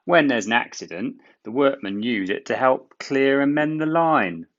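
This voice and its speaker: A man's voice: mid-range voice, expressive, moderate pace, fairly bright tone, highs cut off, voice pushed up, natural voice. A man voicing an earnest, plain-spoken railway worker in a children's storybook. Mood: explaining. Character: earnest working railway man, explaining and reassuring.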